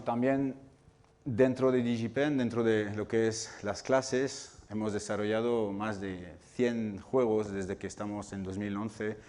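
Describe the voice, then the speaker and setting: A man's voice: mid-range voice, warm tone. Non-native man giving a public talk. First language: not Spanish. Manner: searching for words, frequent restarts and fillers. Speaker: game development lecturer. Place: cultural center lecture room.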